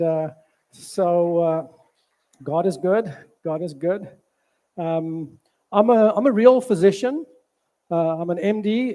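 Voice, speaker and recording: A man in his sixties from South Africa with a South African accent, a physician giving a halting health talk at a church, with many fillers. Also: sits high, dark tone. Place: church hall.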